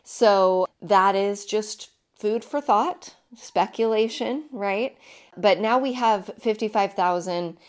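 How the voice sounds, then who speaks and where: A woman in her thirties hosting her own podcast, talking casually with emphatic repetition, her voice hoarse and deepened from illness. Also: slow pace. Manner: chatty, emphatic, repeats herself. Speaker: podcast host. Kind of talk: casual solo podcast monologue.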